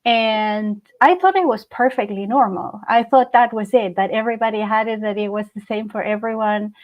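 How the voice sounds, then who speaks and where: A woman's voice: mid-range voice, moderate pace, dull audio. A woman in her forties from Chile, a Spanish speaker, chatting casually as an interview guest. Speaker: interview guest, hypnotherapist and channeler. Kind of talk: casual personal storytelling.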